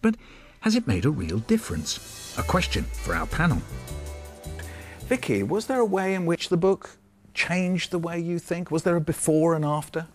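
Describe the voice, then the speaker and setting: A man's voice: fairly high pitch, animated, normal speed, soft background music. A man in his sixties with an English accent hosting a panel discussion in a conversational, questioning way. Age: 60s. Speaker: host.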